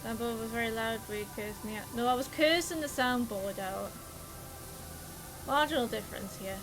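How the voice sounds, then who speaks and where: A woman's voice: fairly high pitch, moderate pace, music in the background, low-quality recording. Chatty Geordie woman streamer. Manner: chatty, exclamatory, swears freely. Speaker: livestreamer. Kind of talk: casual gameplay commentary.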